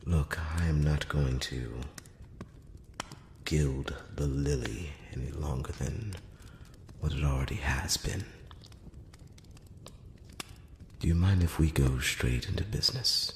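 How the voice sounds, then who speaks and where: A man acts out an ancient elven envoy in a whispered fantasy roleplay, with an extremely deep, soft voice. He speaks slowly and formally, with sly, courtly condescension. The close voice rings through a long, echoing hall reverb. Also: a little hiss or hum. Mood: earnest, diplomatic. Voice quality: very low, soft, often slipping into whisper. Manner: slow, hushed, measured, with formal courteous phrasing. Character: ancient, courtly, slyly condescending male elf emissary. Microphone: close-up microphone.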